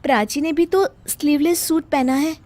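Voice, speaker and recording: A woman's voice: high voice, normal speed, heavy background noise, voice pushed up, natural voice. A woman voicing a young daughter-in-law in a cartoon story, pleading and earnest. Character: young wife, frustrated but caring daughter-in-law.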